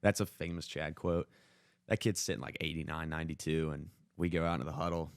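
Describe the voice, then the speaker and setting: A man's voice: a bass voice, steady tone, brisk, gravelly, no echo, studio quality. A young American man telling sports stories in a casual, slangy way, often quoting what others said. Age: young adult. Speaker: podcast co-host and former high school baseball player.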